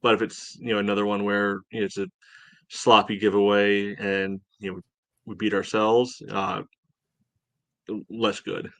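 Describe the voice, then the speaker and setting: Guy doing casual soccer analysis, measured, thinks out loud, very dry room sound. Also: fairly low pitch, flat delivery, dry recording. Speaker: sports podcast co-host.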